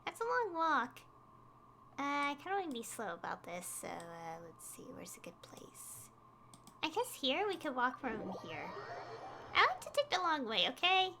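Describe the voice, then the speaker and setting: A woman's voice: sits high, huge pitch swings, unhurried, bright, forceful tone, slight background noise. A woman streaming a game, giving casual, informal commentary as she thinks aloud. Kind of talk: casual livestream gameplay commentary. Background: game music.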